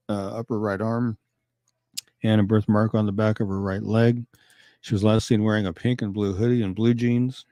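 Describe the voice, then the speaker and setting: Easygoing man in his fifties from Kansas, co-host; low, even, mellow delivery, dry mic. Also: dry recording. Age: 50s. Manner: easygoing, laughs often, reads details slowly. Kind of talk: casual chat and missing-person appeal.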